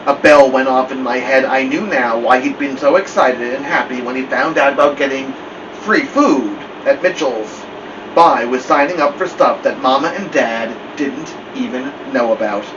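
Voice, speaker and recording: A man's voice: medium pitch, moderate pace. A man reading a novel aloud as first-person storybook narration. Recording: dull, distant mic with loud air-conditioner hum.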